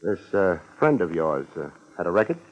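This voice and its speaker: An actor playing a tough, weary private detective in a 1950s radio drama, American accent, terse wisecracking delivery. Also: male voice, low-pitched, big pitch swings, normal speed, muffled recording. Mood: casual probing. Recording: noisy 1950s radio recording.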